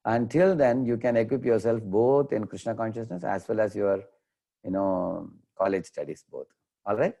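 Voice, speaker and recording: A man with an Indian accent, a devotional lecturer answering questions in an online class, explaining patiently with frequent pauses over a video call. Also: on the low side, gravelly, warm tone. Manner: unhurried, explanatory, many "uh" pauses.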